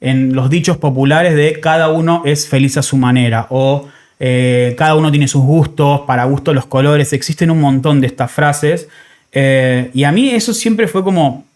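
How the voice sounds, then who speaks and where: A man's voice: a little high, normal speed, a little mellow. A man in his thirties with an Argentine accent, hosting a podcast in a casual, reflective way and often rephrasing as he goes. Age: 30s. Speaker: podcast host. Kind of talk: casual conversational podcast talk.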